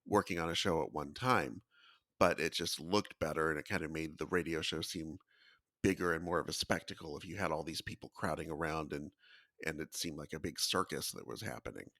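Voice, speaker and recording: Male podcast co-host, very low voice, informal but informative, dry recording. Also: fairly quick, pushed and bright, dry recording. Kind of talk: casual informative talk.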